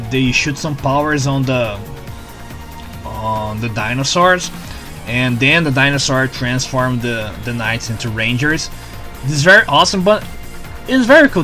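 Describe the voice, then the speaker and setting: A Brazilian man with a Brazilian Portuguese accent, reviewing a show in a casual, excitable way, stumbling over repeated words and tagging sentences with "né?". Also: mid-range voice, unhurried, music in the background.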